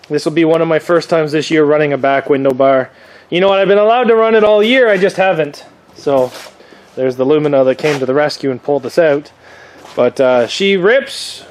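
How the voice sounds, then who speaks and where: A man's voice: high-pitched, a little mellow. A young man with a stuffy, sniffly cold, chatting casually in a vlog in a laid-back, rambling way with lots of fillers. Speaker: demolition derby builder and vlogger. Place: home workshop or garage.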